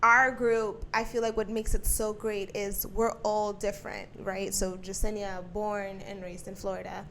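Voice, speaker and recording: A woman's voice: medium pitch, level tone, normal speed, few pauses, a bit bright, slight background noise. A bubbly young woman, co-hosting a casual chat podcast. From Puerto Rico. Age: 20s. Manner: bubbly, upbeat. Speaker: podcast co-host.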